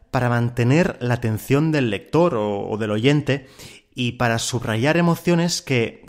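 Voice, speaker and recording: A man hosting a fiction podcast and narrating in a scripted style. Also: medium pitch. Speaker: podcast host and story narrator.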